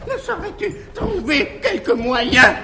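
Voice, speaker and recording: An actor playing a gullible, stingy old master, frightened and pleading, in a theatrical classical stage comedy. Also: male voice, very high voice, sing-song, slow, sharp tone, full character voice. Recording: echoey old live theatre recording, audience.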